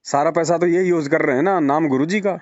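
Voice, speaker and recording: Argumentative higher-voiced man. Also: fairly quick, no echo. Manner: argumentative, rhetorical questions, repetitive emphasis. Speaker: religious devotee speaking to a follower. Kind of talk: persuasive phone conversation. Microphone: phone call. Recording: dull lo-fi phone call recording.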